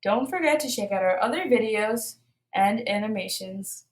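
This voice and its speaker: A woman reading a children's storybook aloud with expressive narration, addressing young listeners. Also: medium pitch, normal speed, echoey room. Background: light music.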